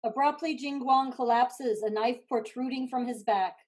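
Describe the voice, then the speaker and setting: A woman's voice: on the high side, moderate pace. A woman narrating stage directions in a scripted play reading.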